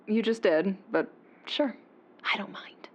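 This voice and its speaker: A woman's voice: mid-range voice, slow pace. A woman playing a guarded, sly anonymous informant in an audio drama, terse and controlled over a phone line. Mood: coolly teasing. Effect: phone-call filter. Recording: dull muffled phone line.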